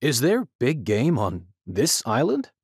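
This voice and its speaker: Animated, earnest big-game hunter. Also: male voice, medium pitch, takes it slowly, breathy edge, dry recording, studio quality, heavily acted. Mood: curious, surprised. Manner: direct, inquisitive, polite. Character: earnest, curious male big-game hunter, a polite guest. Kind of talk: audio drama acting.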